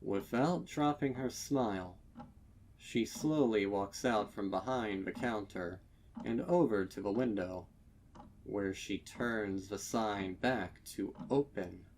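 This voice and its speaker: Horror narrator: male, fairly deep, extremely unhurried, somber dramatic reading, slight background noise. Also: darkish tone. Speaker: fan fiction narrator.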